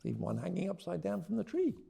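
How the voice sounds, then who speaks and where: A man reading a children's storybook aloud and talking about it, with deliberate, expressive phrasing. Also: high-pitched, vocal fry, husky. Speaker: children's author reading his book aloud. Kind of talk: expressive storybook read-aloud with teaching notes.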